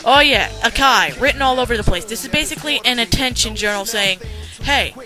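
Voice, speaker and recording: A young woman giving blunt, unscripted critical commentary in an animated, ranting way. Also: fairly high pitch, brisk. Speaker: commentary video host. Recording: music playing behind the voice.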